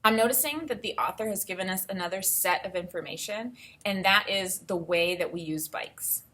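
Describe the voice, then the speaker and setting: Lady teacher reading aloud for kids, medium pitch, talks thru her thinking step by step. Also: normal speed, pushed and bright. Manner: instructive, explains step by step. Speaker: elementary school teacher. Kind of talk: read-aloud lesson, thinking aloud to children.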